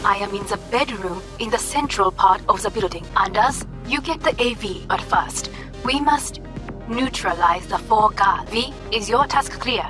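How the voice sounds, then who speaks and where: An actress playing a poised, commanding corporate heiress in a video game, formal, calm and precise. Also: female voice, medium pitch, normal speed, lightly acted. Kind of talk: video game cutscene acting. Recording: music behind the voice.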